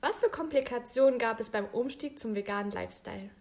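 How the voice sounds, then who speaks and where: Young woman, higher voice, supportive co-host. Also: fast, darkish tone. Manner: supportive, asks follow-up questions. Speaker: podcast co-host. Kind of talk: casual chat.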